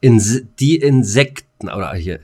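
A man's voice: mid-range voice, animated, measured pace, darkish tone. A man co-hosting a casual German podcast chat, speaking in a blunt, opinionated, colloquial manner. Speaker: podcast co-host.

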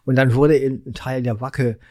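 A man's voice: mid-range voice, steady tone, a little slow, a little mellow, no room sound, studio quality. A man in his sixties from Germany's Ruhr area, chatting as an interview guest in a colloquial, rambling way with slightly impaired articulation. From Germany, Ruhr area (Essen). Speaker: podcast guest, real estate market analyst. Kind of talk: casual interview chat.

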